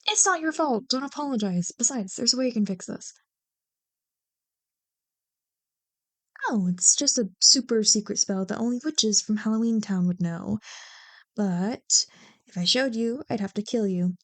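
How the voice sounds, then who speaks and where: A woman plays a bubbly young childhood friend with a fairly high voice, recorded very dry. She banters and teases playfully, chatting casually as if to one listener in an ASMR roleplay. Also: moderate pace, dry recording, natural voice. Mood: playful, reassuring.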